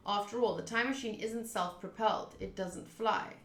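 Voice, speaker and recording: A woman's voice: medium pitch, fairly even intonation, normal speed, vocal fry. A woman streaming a visual novel, reading its narration aloud with casual reactions. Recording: roomy, echoing voice track. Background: game music.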